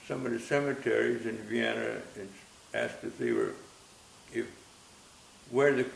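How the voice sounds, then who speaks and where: A man in his nineties who grew up in Austria tells his story in a gentle, halting way, going back over his words. His voice is heavily gravelly with fry. The room rings with echo, and a faint hiss sits under the recording. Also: medium pitch. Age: 90s. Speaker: interviewee. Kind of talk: reminiscing interview.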